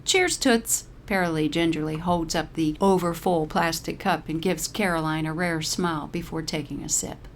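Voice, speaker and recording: Low-pitched woman reading a story, noisy recording. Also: little pitch movement, normal speed, hardly any pauses. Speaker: narrator. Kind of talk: scripted short-story narration.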